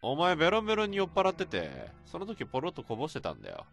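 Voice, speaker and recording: A man playing a gruff senior coworker who brushes off suspicion in a radio drama. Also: fairly high pitch, huge pitch swings, fast, a bit bright, faint music behind, exaggerated voice. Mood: defensive. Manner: gruff, dismissive, defensive.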